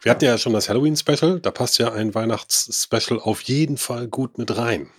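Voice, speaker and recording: A man's voice: mid-range voice, brisk, breathy edge, no room sound, studio quality, soft background music. A man co-hosting a comic podcast, a bit stuffed up with a cold, chatting and joking loosely. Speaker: podcast co-host. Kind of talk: casual chat.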